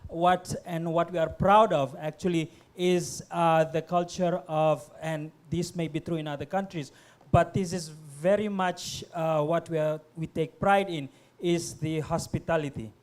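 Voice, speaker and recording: A middle-aged man from Indonesia, a guest on a panel talk, speaking haltingly with many fillers and restarts. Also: a little high, fairly even intonation, a little mellow. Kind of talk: panel conversation.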